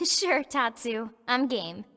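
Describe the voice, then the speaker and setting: A woman's voice: on the high side, sing-song, slow pace, gravelly, sharp tone, heavily acted. An actress playing a perky, playful young female sidekick in a video game, casual and teasing in manner. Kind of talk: animated video game acting. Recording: reverberant hall sound.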